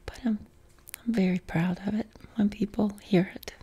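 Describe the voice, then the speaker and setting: A middle-aged woman from West Virginia with a softened Appalachian accent, whispering an unhurried question-and-answer chat from her living room couch, close to the mic. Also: mid-range voice, fairly even intonation, husky. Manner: unvoiced whisper, unhurried with many ums. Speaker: ASMR creator. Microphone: close mic, camera framed on torso.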